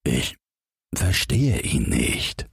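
A naive storybook snowman, voiced by a male reader, low and very husky. He talks slowly and musingly, full of stubborn self-importance. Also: sing-song, no echo, clean studio sound, exaggerated voice. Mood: uneasy. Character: naive newborn snowman, proud, puzzled and stubborn.

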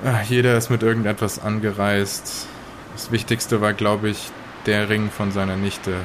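A man playing a grim 1920s survivor of an occult ritual in an audio drama, telling it haltingly. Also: fairly low pitch, level tone, background noise, lightly acted. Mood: grave, matter-of-fact. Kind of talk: in-character audio drama retelling.

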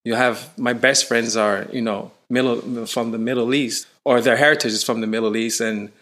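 A man from Canada, a touring musician, chatting in an interview and telling stories with rising excitement. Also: medium pitch, moderate pace. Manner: animated storyteller, voice rising when excited. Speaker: musician guest. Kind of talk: casual interview.

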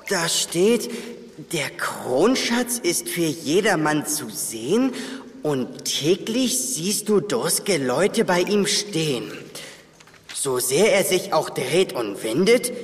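An actor playing an eager, curious, excitable young boy adventurer in a children's audio drama. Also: fairly high pitch, big-room sound. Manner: excitable, exclaiming.

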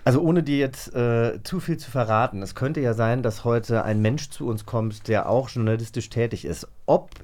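A man's voice: medium pitch, moderate pace, hardly any pauses, a little mellow. A man co-hosting a podcast in casual, playful chat, effusive and teasing. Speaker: podcast co-host.

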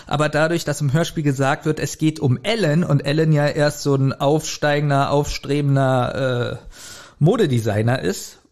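Male podcast co-host, slightly high-pitched, informal review chat, quick to chuckle. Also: darkish tone. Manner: chuckles often while talking. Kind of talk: casual chat reviewing an audio drama.

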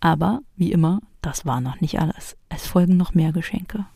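Mellow narrator, chatty personal storytelling, close dry sound, addresses listeners directly. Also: mid-range voice, moderate pace, gravelly, slightly rough, dry recording. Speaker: host and narrator of a private audio collage.

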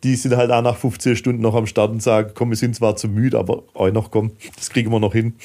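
A man's voice: medium pitch, little pitch movement, fast talker, warm tone. A middle-aged man from southwestern Germany talking candidly and colloquially as a guest in a casual podcast interview. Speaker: guest, filmmaker and managing director.

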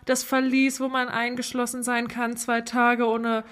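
A woman's voice: fairly high pitch, level tone, measured pace, a bit bright, clear, resonant tone. A woman who co-hosts a podcast, chatting in casual banter. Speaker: podcast co-host.

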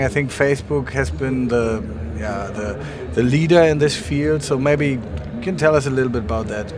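A man interviewing in a conversational but halting way, often restarting. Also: on the high side. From Germany. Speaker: podcast interviewer. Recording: clear background event noise.

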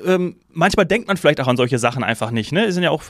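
A man from Hesse hosting a travel podcast, chatting casually and telling a lively story in which he acts out his own thoughts. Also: fairly high pitch, fast, dry recording, studio quality. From Hesse, Germany. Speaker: podcast host.

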